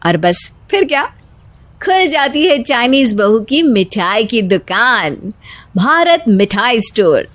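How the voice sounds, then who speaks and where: A woman storyteller reads a scripted moral tale. Her voice sits fairly high and she narrates at a slow pace. The recording is close and dry. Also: big pitch swings, fairly bright tone, no room sound.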